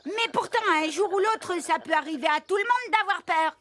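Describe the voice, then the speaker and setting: Squeaky, bright, sensible little cartoon pal. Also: female voice, moderate pace. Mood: reassuring. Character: small, sensible, reassuring cartoon friend of a tractor. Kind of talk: children's cartoon dub acting.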